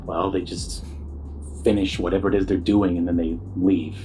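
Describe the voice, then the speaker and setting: An actor playing a weary, sardonic struggling musician who cannot sleep, in an audio drama. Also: male voice, low voice, level tone, normal speed, dark tone, faint music behind, lightly acted. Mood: uneasy. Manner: sardonic, blunt, hesitant. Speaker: caller to radio show.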